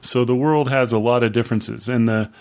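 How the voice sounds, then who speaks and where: A man in his fifties co-hosting a book podcast, talking in a casual, rambling way with many pauses and fillers. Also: medium pitch, fairly even intonation, darkish tone. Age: 50s. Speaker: podcast co-host.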